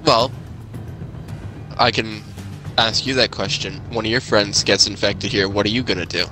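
Man playing guarded, low-voiced settlement leader. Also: fairly even intonation, moderate pace, over music, lightly acted. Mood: firm. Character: guarded, firm male leader of a zombie-apocalypse settlement. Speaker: player in a roleplay skit. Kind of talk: improvised game roleplay dialogue.

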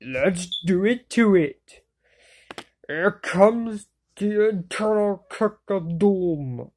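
A woman's voice: mid-range voice, expressive, extremely slow, a little mellow, slight background noise, pitched above the performer's own voice. A woman voicing a brash, cocky, hot-headed young sidekick in an anime fan dub, slangy, mouthy and exclamatory, on an amateur home recording. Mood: fired-up, defiant. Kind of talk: anime fan-dub acting, solo voice clips.

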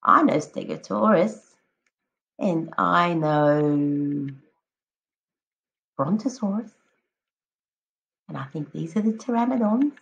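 Female teacher, children's read-aloud, highly animated intonation, unhurried pace, encouraging, stretched key words. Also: gravelly, muffled recording. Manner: encouraging, addresses children directly, drawn-out emphatic words. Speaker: teacher reading a picture book aloud to children. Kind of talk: expressive children's read-aloud with prompting questions.

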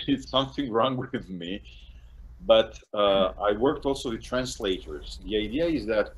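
A middle-aged man with a Serbian accent, hosting a casual video-call interview in English as a second language, speaking haltingly with frequent restarts and small laughs. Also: mid-range voice. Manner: halting, restarts often, laughs between phrases. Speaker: interview host and course translator. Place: home room indoors. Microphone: webcam on a video call.

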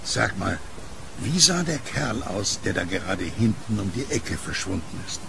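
A man's voice: on the low side, very animated, unhurried, gravelly, exaggerated voice. An actor playing a genial, solitary late-night kiosk keeper who is fond of his dog, in a horror radio drama. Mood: wary, puzzled. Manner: chatty, teasing, soothing to his dog. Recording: noisy storm ambience behind voice.

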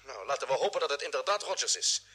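Husky, forceful space captain. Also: male voice, mid-range voice, sing-song, fast talker, heavily acted. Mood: measured, hopeful. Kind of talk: 1950s Dutch radio drama acting.

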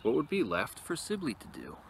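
A man reading a picture book aloud expressively to young listeners, with casual, quieter asides, recorded outdoors. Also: medium pitch, wildly swooping pitch, fairly quick, creaky. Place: outdoors in an open field. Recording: noisy outdoor recording with ambient sound.

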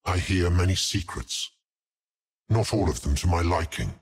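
An actor playing a silky, sinister male lieutenant of Chaos in a game cutscene, speaking in a grandiose, deliberate and menacing way. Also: low-pitched, animated, slightly rough, full character voice. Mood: conspiratorial. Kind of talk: dramatic game cutscene acting. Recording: voice under very loud music. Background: loud music.

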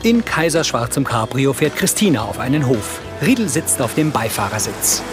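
A man reading scripted audio description for a TV crime series in a measured, matter-of-fact way. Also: medium pitch, sing-song, close to an everyday voice. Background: music behind the voice.

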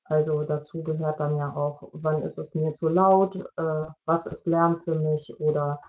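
A middle-aged woman giving an explanatory online presentation in a halting way, searching for words. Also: sits low, flat delivery, darkish tone. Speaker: media educator presenting at an online parents' evening. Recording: dull, lo-fi video-call audio.

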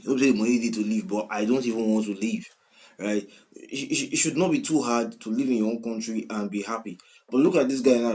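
A man in his twenties with a Nigerian accent, reacting to a song in casual commentary, swinging from loud outbursts to quiet mumbling, recorded on a webcam in a small room. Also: on the low side. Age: 20s. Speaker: music reaction host. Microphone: webcam at desk, wearing headphones.

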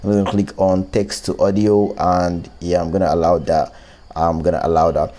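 A man's voice: low voice, flat delivery, normal speed, dark tone. A man hosting a casual tech tutorial, informally explaining an app step by step. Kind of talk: casual screen-recorded app walkthrough. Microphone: close one-way microphone, voice-over.

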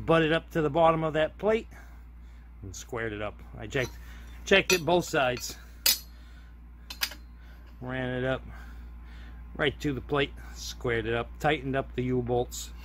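A man's voice: on the high side, noisy background. A man giving a plain-spoken, casual step-by-step narration of a hands-on DIY project as he films it. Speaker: DIY hobbyist video host.

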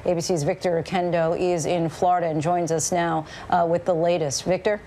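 Smooth-voiced female news anchor. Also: on the low side, little pitch movement, mellow, a little hiss or hum. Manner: smooth, measured anchor delivery. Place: news studio.

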